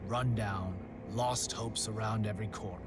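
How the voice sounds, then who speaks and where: An actor playing a composed, sinister master of a deadly contest in a video game, speaking in a measured, smug and ominous way. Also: male voice, fairly low pitch, fairly even intonation, close to an everyday voice. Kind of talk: video game cutscene briefing. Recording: loud music behind the voice.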